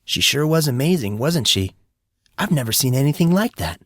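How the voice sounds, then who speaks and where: A man's voice: mid-range voice, very animated, normal speed, fairly bright tone, no room sound, studio quality, voice pushed up. A man voicing an awkward, earnest fourteen-year-old schoolboy with an eager manner in an audiobook drama. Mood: impressed.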